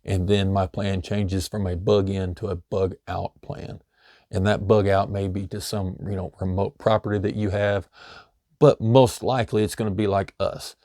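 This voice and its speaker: A middle-aged man with a low, warm voice, a bit hoarse as if losing it. He talks through practical advice in a loose, easygoing way, leaning on "you know" as he goes. The sound is dry and close. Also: little pitch movement, normal speed, no room sound. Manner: loose and conversational, many "you know" fillers. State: slightly hoarse, losing his voice. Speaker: homesteading channel host. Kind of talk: casual instructional talk.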